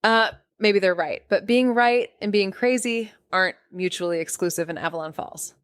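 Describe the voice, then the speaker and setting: An actress playing a sardonic, restless young small-town amateur sleuth, narrating an audio drama in slangy first person. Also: female voice, medium pitch, moderate pace, clear, resonant tone, dry recording, clean studio sound, close to an everyday voice. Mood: sarcastic, deadpan. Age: young adult. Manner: wry, sarcastic, slangy.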